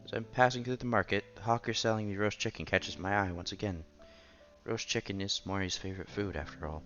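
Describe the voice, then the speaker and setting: A man's voice: on the low side, fairly quick, a bit bright, dull audio. A man reading a visual novel aloud as narrator and lead, now and then stumbling over words and rereading them. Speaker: visual novel reader, channel host. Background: background music.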